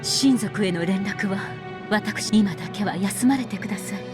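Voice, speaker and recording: An actress playing a dutiful, dignified middle-aged wife in a visual novel, speaking formally and politely with composed resolve. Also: female voice, medium pitch, quick pace, close to an everyday voice. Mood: restrained, formal. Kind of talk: Japanese visual novel voice acting. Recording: music behind the voice.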